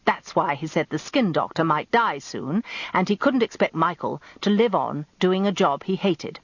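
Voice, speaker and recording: A woman voicing a gruff older male barrister husband, terse, dry and sardonic, in a radio drama. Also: on the low side, expressive, normal speed, dry recording, muffled recording. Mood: dry, curt.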